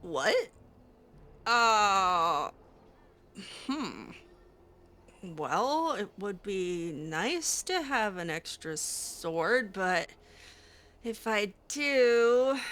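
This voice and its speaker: Female gamer, unhurried and bright, casual playful roleplay commentary with a higher-set voice. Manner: playful, reacting in character. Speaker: gamer doing a roleplay playthrough. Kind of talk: casual in-character game commentary.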